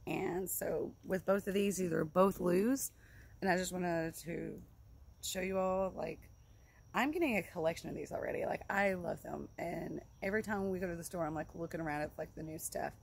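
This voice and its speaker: Casual female vlogger, lowish voice with strong creak, loose and repetitive delivery, recorded outside. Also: moderate pace. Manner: rambling, informal, repeats herself. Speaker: vlogger reviewing fishing gear. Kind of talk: casual product review to camera. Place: outdoors in a leafy yard by a log building. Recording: clear outdoor background noise.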